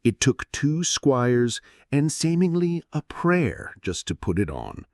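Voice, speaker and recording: A man narrating a scripted history story for sleep, in a gentle, soothing storyteller's manner with dramatic pauses. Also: mid-range voice, very animated, dry recording, clean studio sound.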